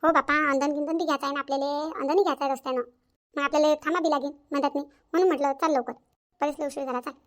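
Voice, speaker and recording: A woman's voice: sits high, steady tone, very fast, natural voice. A woman playing a bossy, easily irritated older village mother-in-law with a Varhadi accent in a cartoon family drama. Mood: impatient. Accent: rural Maharashtra, Varhadi. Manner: scolding, repetitive, insistent.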